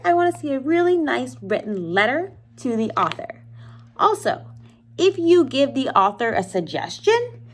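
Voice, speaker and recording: A woman with a fairly high voice and very lively, swooping intonation. She talks like a schoolteacher guiding young students through a task, informal and encouraging, often putting questions straight to the listener. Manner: encouraging, instructional, with rhetorical questions; informal, addresses listeners directly. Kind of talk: explaining an assignment to young students.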